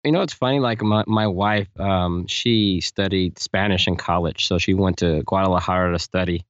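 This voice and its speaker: Low-voiced man musing on a podcast. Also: steady tone, normal speed, no echo. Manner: reflective, explains with anecdotes, many fillers. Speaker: podcast co-host. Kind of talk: casual panel chat. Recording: dry, dull lo-fi audio.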